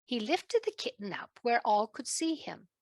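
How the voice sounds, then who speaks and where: A woman narrating a children's story in a gentle, deliberate way, with a British accent that comes and goes. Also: fairly high pitch, sing-song. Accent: British, fading in and out.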